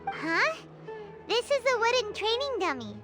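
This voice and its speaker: A woman voicing a tiny, excitable childlike fairy companion in a video game, with a squeaky, chirpy delivery. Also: unhurried, exaggerated voice. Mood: puzzled, curious. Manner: chirpy, speaks of self in third person. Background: game music.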